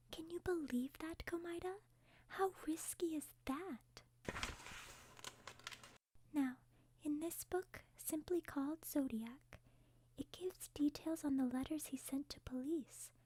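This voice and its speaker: A woman playing a refined young princess who eagerly shares morbid interests in a soft, breathy half-whisper for an ASMR roleplay. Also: high voice, slight background noise.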